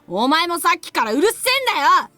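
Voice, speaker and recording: Brash, bright-voiced, fast-talking little boy. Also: high-pitched, animated, no echo, faint music behind. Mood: irritated. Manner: rough, blunt boyish speech. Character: brash, hot-tempered young boy, sore loser at soccer. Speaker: visual novel voice actor. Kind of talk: Japanese anime-style game acting.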